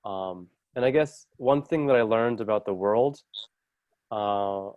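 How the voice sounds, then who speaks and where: Deep-voiced young man, reflective and hedging. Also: a little slow, no room sound, phone-quality sound. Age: 20s. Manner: thoughtful, hedging with "I guess" and "sort of". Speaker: service corps volunteer. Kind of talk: reflective answers.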